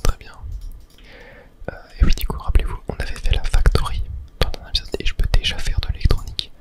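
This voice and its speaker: A person whispering ASMR game commentary, thinking aloud to viewers with hesitant pauses. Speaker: gaming video host.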